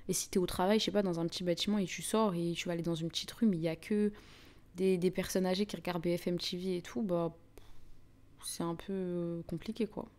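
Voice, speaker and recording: A woman's voice: fairly low pitch, mellow. A young woman with a Parisian French accent chats informally and slangily with viewers as host of a live stream. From France (Paris). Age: young adult. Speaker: livestream host. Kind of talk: casual live chat with viewers.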